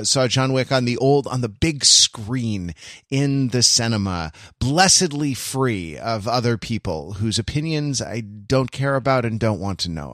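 Man, podcast host, mid-pitched voice, casual film talk, wry mock-formal asides, close dry recording. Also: a bit bright, no echo.

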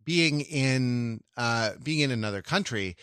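Male podcast co-host, mid-pitched, thinking aloud in halting, self-correcting digressions, recorded very dry. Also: expressive, a bit bright, smooth, dry recording. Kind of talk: casual conversational podcast discussion.

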